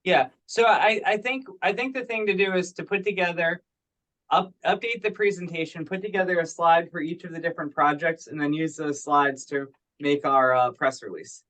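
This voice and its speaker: Man with slightly high voice, casual meeting talk. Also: moderate pace, sharp tone, low-quality recording. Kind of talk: casual conference-call discussion. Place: teleconference. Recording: online conference call.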